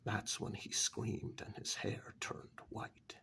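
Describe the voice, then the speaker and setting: A man in a soft-spoken ASMR story role-play, narrating a horror tale in a breathy, gravelly half-whisper as an asylum director, pausing between short phrases. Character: asylum director calmly recounting eerie past events. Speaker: narrator telling a horror tale in first person.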